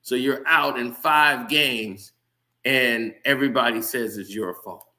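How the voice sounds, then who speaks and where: Heated male sports commentator addressing viewers, bright and forceful. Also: mid-range voice, a little slow. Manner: heated, ranting, talks straight to viewers. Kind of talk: casual sports commentary rant.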